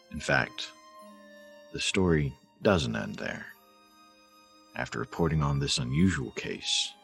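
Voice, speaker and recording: A man acting as a radio reporter and storyteller with a very low, gravelly voice. He narrates a scripted drama in measured, folksy phrases, with plenty of rise and fall. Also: fairly bright tone, exaggerated voice. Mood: reflective, warm. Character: male radio news reporter telling a sentimental story.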